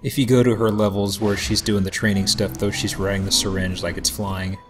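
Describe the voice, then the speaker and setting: A man giving casual, somewhat halting commentary as host of a gameplay video, with a self-deprecating manner. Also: fairly low pitch, level tone. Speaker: let's play host. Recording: game music behind voice. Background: video game music.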